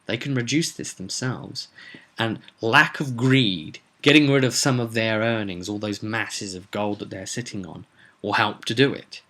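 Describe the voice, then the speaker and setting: A man's voice: lowish voice, measured pace, sharp tone. A man hosting a casual solo vlog as a game master, explaining his plans for a tabletop game in an emphatic way.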